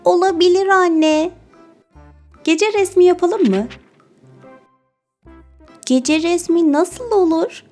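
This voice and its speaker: Woman putting on a tiny animal kid voice for cartoons, super high, childlike, music behind. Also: takes it slowly, long pauses, a little mellow. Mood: curious.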